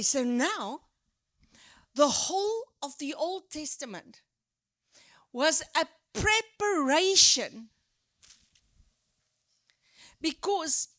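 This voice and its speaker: A woman with a South African accent, preaching a sermon in an animated, emphatic way with drawn-out stresses. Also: high voice, no echo, clean studio sound. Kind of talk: sermon teaching.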